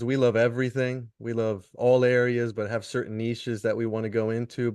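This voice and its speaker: Smooth-voiced man on a panel. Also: mid-range voice, a little mellow, no echo. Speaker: dietitian panelist. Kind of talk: panel discussion on video call. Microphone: video call.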